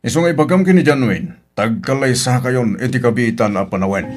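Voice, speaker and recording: A man playing an authoritative middle-aged father in a Filipino radio drama, deliberate and admonishing. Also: mid-range voice, a little mellow, faint music behind, natural voice. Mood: firm, advising.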